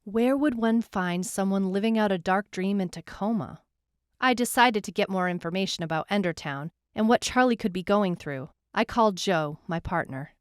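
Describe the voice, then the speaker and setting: A woman playing a brooding detective who narrates a supernatural case in a measured, deliberate way, as in a scripted audio drama. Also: mid-range voice, lightly acted. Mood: pondering. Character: brooding female detective recounting a supernatural missing-person case. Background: music.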